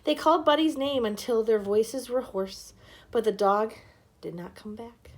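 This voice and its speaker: A woman's voice: a little high, a little slow. A woman reading a scripted children's story aloud as narrator. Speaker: storybook reader.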